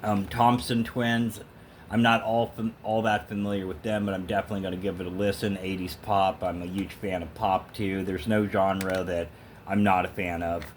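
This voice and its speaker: A man's voice: low voice, little pitch movement, normal speed, slight background noise. A man talking casually and emphatically to the camera as an opinionated music fan, in a rambling vlog style. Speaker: vlogger showing a thrift store music haul.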